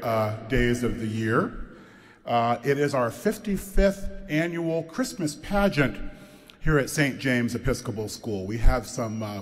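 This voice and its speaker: Man preaching in a reverberant church. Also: mid-range voice. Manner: warm, chatty, jokes with the audience by name. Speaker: head of school preaching at church. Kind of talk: informal sermon to a congregation. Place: large church sanctuary. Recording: long reverb of a church hall.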